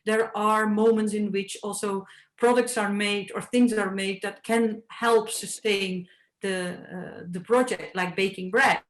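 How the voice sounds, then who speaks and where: A woman speaks in a mid-pitched, thoughtful voice, circling her ideas with frequent fillers. The sound carries a noticeable room echo, as at a panel talk. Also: fairly even intonation, a little slow. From Netherlands. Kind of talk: panel discussion.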